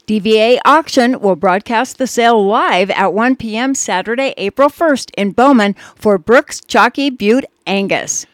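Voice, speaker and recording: A woman's voice: fairly high pitch, unhurried, hardly any pauses, dry recording. A woman co-hosting a radio show, chatting and reading ads in an upbeat way with bursts of emphasis. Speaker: radio co-host.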